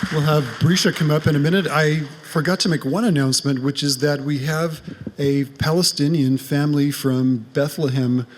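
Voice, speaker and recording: A man's voice: a little high, fairly even intonation, moderate pace, slight background noise. A man hosting a congregation program, introducing speakers and making announcements, with frequent ums and uhs. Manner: reads bios, frequent uh and um. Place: congregation meeting hall.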